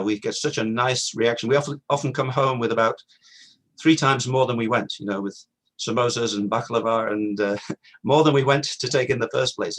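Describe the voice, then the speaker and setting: A man's voice: medium pitch, a bit bright, rough recording. Middle-aged man speaking earnestly. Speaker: guest speaker. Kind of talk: informal talk at a virtual community event.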